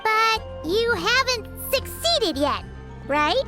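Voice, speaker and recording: An extremely high, squeaky fairy child, eager and full of questions. The voice swoops up and down in animated video game style. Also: female voice, slow, loud music behind the voice, exaggerated voice. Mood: curious. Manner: exclaiming, eager, inquisitive.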